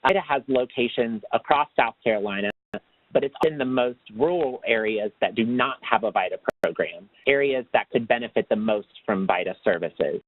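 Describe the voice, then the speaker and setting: A man presenting slides in a webinar, in a formal read-out way that turns halting off script, heard over a phone line. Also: mid-range voice, no echo. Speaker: webinar presenter, tax assistance program manager. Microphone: phone or conference line. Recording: tinny, narrow phone line.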